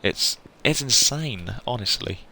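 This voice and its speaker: A man giving casual live game commentary to viewers, chatty and effusive, often pausing to search for words. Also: medium pitch, expressive, sharp tone, a little hiss or hum, low-quality recording. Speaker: game streamer.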